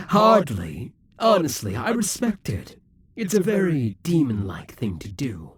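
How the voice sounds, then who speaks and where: A man's voice: on the high side, huge pitch swings, takes it slowly, breathy edge, no room sound, exaggerated voice. Brief: male actor as a scheming demon king for an audio roleplay, dry close sound, taunting and possessive. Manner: taunting, possessive, drops to whispers.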